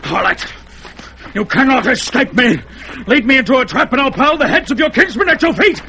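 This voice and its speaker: An actor playing a furious young barbarian warrior in a radio drama, shouting threats, with faint noise behind him. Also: male voice, very high voice, lively intonation, brisk, creaky, full character voice.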